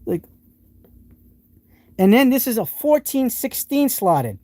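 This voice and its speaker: Mellow-voiced New England man, enthusiastic. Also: extremely high pitch, big pitch swings, slow pace, dry recording. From New England, United States. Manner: enthusiastic, rambling with frequent restarts. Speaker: host of a live tool stream. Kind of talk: casual live stream, showing tools and answering chat.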